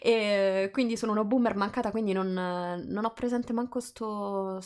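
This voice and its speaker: A woman with a central or southern Italian accent talks in a casual, conversational way. She sounds like a co-host on a relaxed group livestream, speaking at an ordinary middle pitch. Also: normal speed. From central or southern Italy. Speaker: live-reading co-host.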